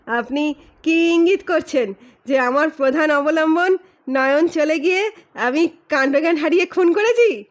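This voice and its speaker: Woman acting high-pitched, indignant accused magician man. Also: a little slow. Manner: indignant, protesting, argumentative. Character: agitated, defiant male stage magician accused of murder.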